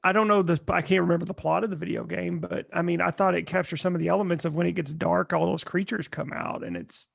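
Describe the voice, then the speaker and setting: Male co-host, high register with gravelly fry, opinionated, rambling, conversational. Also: fairly even intonation, quick pace, hardly any pauses, dry recording, studio quality. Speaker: podcast co-host. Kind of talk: casual chat.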